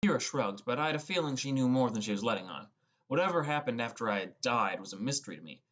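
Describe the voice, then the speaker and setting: This is an audiobook narrator telling a fantasy adventure in the first person. The voice sits at a medium pitch and reads from a script. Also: lively intonation, fast talker, fairly bright tone.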